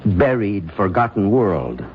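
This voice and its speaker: Deep-voiced, probing doctor. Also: male voice, very animated, slow pace. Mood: insistent. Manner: pointed, questioning, firm. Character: skeptical, probing male doctor, insistent and analytical. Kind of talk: old-time radio drama acting. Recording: muffled, hissy old radio recording.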